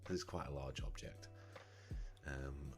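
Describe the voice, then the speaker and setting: A low-voiced man with a slightly croaky, scratchy throat talks through his work in a live-stream tutorial. He muses aloud with fillers and restarts, in an easy, casual way. Faint music plays softly behind him. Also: fairly even intonation, measured pace. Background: soft background music.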